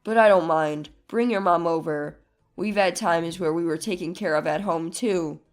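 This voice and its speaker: Woman voicing a kind husband, pitched down. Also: moderate pace, soft background music, natural voice. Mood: kind, calm. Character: kind, supportive young husband in a family drama.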